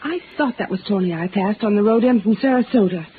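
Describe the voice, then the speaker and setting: A woman's voice: mid-range voice, fast, narrow, tinny audio, close to an everyday voice. An actress playing a scheming, melodramatic showgirl in an old-time radio crime drama, with theatrical, emphatic delivery. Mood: solicitous. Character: scheming, catty showgirl woman with melodramatic flair. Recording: thin, slightly noisy old radio recording.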